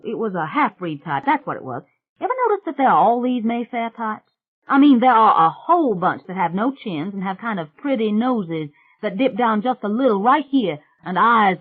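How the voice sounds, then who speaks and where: A woman voicing a brash young country woman who chatters loudly and gushes. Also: mid-range voice, pitched above the performer's own voice. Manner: loud, gushing, rapid chatter. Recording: tinny phone-like sound.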